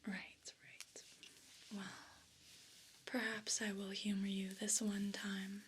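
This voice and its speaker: A haughty, destructive pet cat, played by a woman, whispers in a breathy, condescending ASMR style. The delivery is unhurried and level, barely moving in pitch. Faint noise sits behind the voice. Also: level tone, close to an everyday voice. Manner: imperious, slow and deliberate condescension. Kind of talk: ASMR role play.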